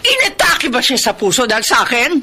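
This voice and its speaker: A haughty, unrepentant Filipino mother in a radio melodrama. Her high voice swoops indignantly in a confrontational Filipino accent, over clear background noise. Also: sing-song, a little fast, bright, forceful tone, full character voice. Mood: scornful, defensive. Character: defiant, scornful middle-aged mother, indignant and unrepentant. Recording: noisy radio drama track.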